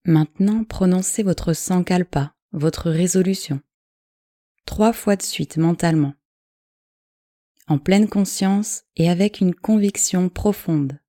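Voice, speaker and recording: A woman with a low, mellow voice leads a guided relaxation. She gives calm, measured instructions in short phrases, very slowly. The recording is dry and close. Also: no room sound. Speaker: yoga nidra guide.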